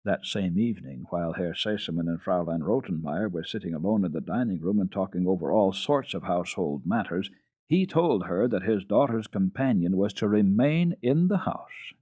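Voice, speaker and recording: A man narrating a classic children's story dramatically, in an expressive, measured storytelling manner. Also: deep voice, slightly rough, dry recording, narrow, tinny audio. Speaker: storyteller, children's radio program host.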